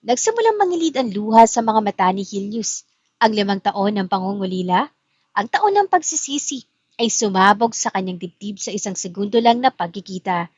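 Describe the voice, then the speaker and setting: A woman's voice: mid-range voice, sing-song, moderate pace, darkish tone, no echo. Woman narrating a drama, dry dull recording. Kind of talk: dramatic scripted story narration. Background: music.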